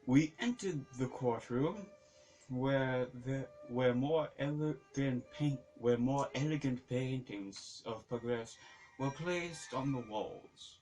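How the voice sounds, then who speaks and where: A man reading a story aloud as narrator, halting and often repeating phrases as he goes. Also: mid-range voice, a little mellow, faint music behind, low-quality recording. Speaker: reader, narrator.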